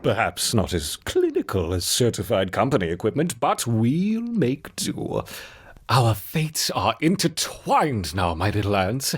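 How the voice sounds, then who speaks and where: A man playing a gleeful, obsessive mad scientist in a video game, with a husky voice and a theatrical, coaxing, menacing delivery. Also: medium pitch, huge pitch swings, a little slow, full character voice. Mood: scheming. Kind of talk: video game character monologue.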